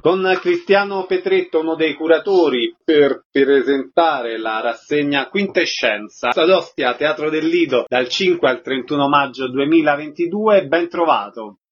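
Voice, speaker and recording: Higher-pitched, husky male radio interviewer. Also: a little slow, hardly any pauses, faint music behind. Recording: slightly dull lo-fi radio sound.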